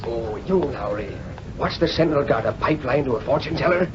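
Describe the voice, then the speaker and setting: Heavy noise and a dull band mark this vintage radio recording of a man playing a gruff American police sergeant. He is curt and commanding, bossing everyone around. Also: medium pitch, expressive, fairly quick, close to an everyday voice. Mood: matter-of-fact. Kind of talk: 1930s-40s radio drama acting. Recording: very noisy, muffled old radio recording.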